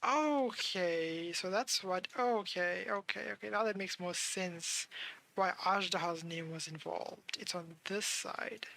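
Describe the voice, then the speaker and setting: Bright, creaky streamer thinking aloud. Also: medium pitch. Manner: thinks aloud in halting fragments. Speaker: game streamer. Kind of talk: casual live gameplay commentary. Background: game music.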